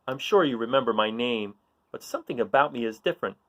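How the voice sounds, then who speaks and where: Man with a very animated, swooping delivery. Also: mid-range voice, fast. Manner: encouraging, asks listeners questions. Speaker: read-aloud host for children. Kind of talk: picture-book read-aloud with guessing-game chat.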